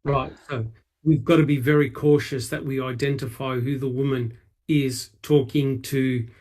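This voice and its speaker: Male panelist, medium pitch, deliberate, casual questioning. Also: steady tone, darkish tone. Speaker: discussion panelist. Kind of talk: casual discussion, asking questions.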